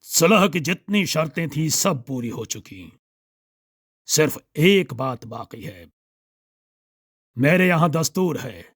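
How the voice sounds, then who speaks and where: A man voicing a cunning, courteous conqueror king in an audiobook story, speaking formally and deliberately with false friendliness. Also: fairly high pitch, breathy edge, dry recording, clean studio sound, full character voice.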